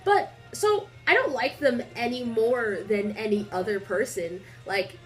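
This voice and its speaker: Young woman, stuffy nose, rambling casual vlog, slightly high voice, faint music behind, webcam in small room. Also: unhurried, rough recording. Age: young adult. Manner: rambling, filler-heavy, animated storytelling. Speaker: vlogger.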